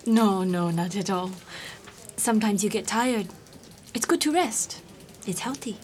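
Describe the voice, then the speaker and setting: A young Chinese woman new at the office in an audio drama. She is friendly and forward, with a medium-pitched voice over faint background ambience. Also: lively intonation, takes it slowly, darkish tone, slight background noise. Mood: amused, gentle. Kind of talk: naturalistic audio drama acting.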